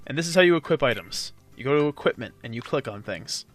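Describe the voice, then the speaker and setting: A man's voice: mid-range voice, animated, quick pace, soft background music. A man hosting a let's play, giving casual, joking gameplay commentary to a co-commentator. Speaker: host of a video game let's play.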